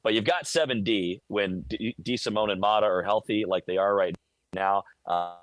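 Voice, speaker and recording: A man with an American accent and a fairly low voice, recorded close and dry. He gives live sports radio analysis in a conversational, detailed way, often tripping on the first word of a phrase before carrying on. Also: moderate pace, dry recording. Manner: conversational, detailed, stammers over word starts. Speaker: radio play-by-play broadcaster, guest analyst.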